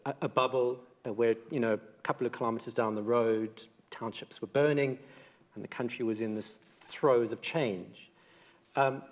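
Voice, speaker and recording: A middle-aged man with a South African accent, speaking thoughtfully and earnestly on a panel in a theatre. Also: medium pitch. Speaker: debate panellist. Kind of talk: reflective personal account in a live debate. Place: theatre stage, live panel debate.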